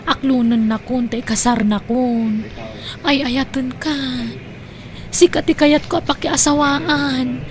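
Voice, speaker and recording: A woman's voice: high voice, steady tone, slow pace. A woman playing a bold, lovesick young woman who talks in a forward, dramatic way in a comedy radio-style drama. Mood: lovesick, pleading.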